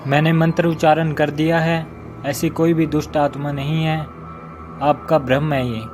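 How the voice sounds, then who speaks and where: A man's voice: fairly high pitch, level tone, moderate pace, lightly acted. A man playing a commanding, theatrical figure in a dramatized children's horror story. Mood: solemn, commanding. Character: exorcist priest chanting mantras, and scheming gang boss. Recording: music behind the voice. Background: music.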